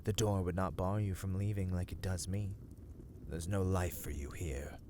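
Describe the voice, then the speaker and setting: A man playing a brooding, self-loathing vampire in a dramatic ASMR roleplay, speaking in a formal, archaic, hushed and breathy manner. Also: low voice, fairly even intonation, normal speed, background noise, close to an everyday voice. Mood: grim, bitter. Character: brooding, self-loathing male vampire, menacing yet conflicted.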